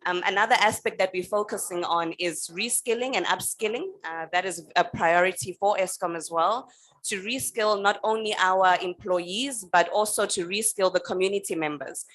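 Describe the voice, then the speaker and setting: A woman answering on a panel, measured and steady with frequent pauses and fillers. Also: mid-range voice, low-quality recording. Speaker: energy utility representative on a panel.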